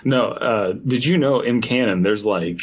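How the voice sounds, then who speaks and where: A man with a husky, medium-pitched voice co-hosts a podcast. He talks casually about games and slips in dry jokes. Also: a little slow, muffled recording. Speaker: podcast co-host. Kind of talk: casual gaming chat.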